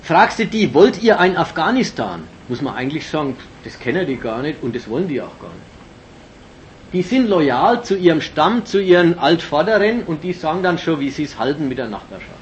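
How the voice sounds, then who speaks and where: Male lecturer, slightly high voice, emphatic and analytical, muffled lo-fi tape with faint hiss. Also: big pitch swings, normal speed. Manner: emphatic, analytical, with many fillers and restarts. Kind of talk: political lecture. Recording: dull lo-fi recording with faint hiss.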